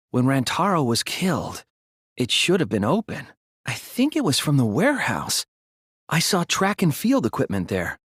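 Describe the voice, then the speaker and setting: A man voicing an earnest, anxious, thoughtful teenage student detective in a video game, with a hesitant, soft, slightly husky delivery. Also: fairly high pitch, no room sound, clean studio sound, exaggerated voice. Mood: reasoning, careful. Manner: hesitant, stammering when flustered, earnest. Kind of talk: English video game character voice acting.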